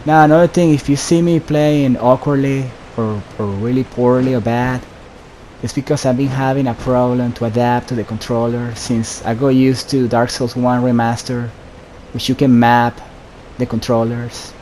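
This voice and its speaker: Flat-toned man doing casual game commentary, hissy. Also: medium pitch, moderate pace, low-quality recording. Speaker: gameplay host. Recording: steady background hiss.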